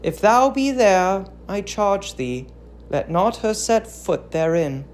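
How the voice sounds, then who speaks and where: A woman's voice: mid-range voice, expressive, slow, a little mellow. A woman voicing an aged, grieving queen in a declamatory, impassioned and accusing reading of a tragedy. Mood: pleading warning.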